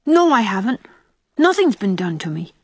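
A woman voicing a remorseful, excitable, impish little boy in a storybook, blurting out childish, emphatic speech. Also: mid-range voice, moderate pace, slightly rough, no room sound, voice pushed up. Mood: curious, emphatic. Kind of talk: audiobook character voice.

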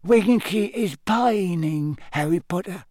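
A small, devoted male house-elf. His voice is high and singsong, and he speaks slowly and quietly. The recording is dry. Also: sing-song, dry recording, heavily acted. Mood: hushed sad. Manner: whispering, hushed.

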